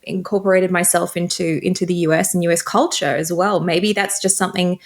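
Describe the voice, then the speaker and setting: Chatty woman with softened Australian accent. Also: fairly low pitch, fairly even intonation, fairly quick. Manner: chatty, upbeat, frequent likes and laughs. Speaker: guest, tech creator. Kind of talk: casual interview chat.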